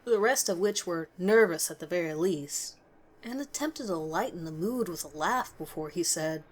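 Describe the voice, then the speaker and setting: A woman reading a scripted scary story as narrator, in a measured, dramatic way with pauses. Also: lowish voice, animated.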